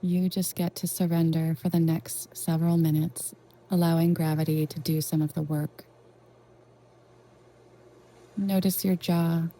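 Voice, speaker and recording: A woman leading a guided meditation in a gentle, reassuring, unhurried way with long pauses. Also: low voice, flat delivery, poor audio. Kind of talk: soothing guided meditation narration.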